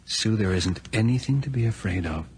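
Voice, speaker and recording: Soothing lonely man, radio play, insistent, eerily attentive, widely varied intonation, clearly noisy clicky recording. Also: on the low side, animated, brisk. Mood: gentle, devoted. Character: soft-spoken, eerily attentive lonely man, coaxing and possessive. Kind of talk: radio drama acting.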